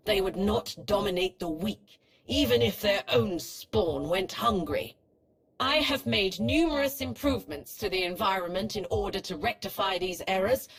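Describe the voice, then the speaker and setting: Cold sith hologram lady for a game, husky, very formal and clinical, kinda ruthless. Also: mid-range voice, low-quality recording. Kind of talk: scripted video game acting.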